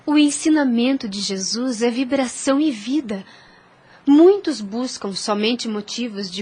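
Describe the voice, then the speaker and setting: An actress with a Brazilian accent playing a gentle, wise young girl who teaches faith earnestly in an audio drama. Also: on the high side, slightly rough. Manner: earnest, preaching, measured.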